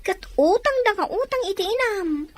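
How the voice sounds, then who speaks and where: A woman's voice: very high-pitched, lively intonation, normal speed. A woman playing a shrewd overseas worker giving advice in an Ilocano audio drama. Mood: advising, reproachful. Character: shrewd woman working abroad, advising a friend about money. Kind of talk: Ilocano radio-style drama acting.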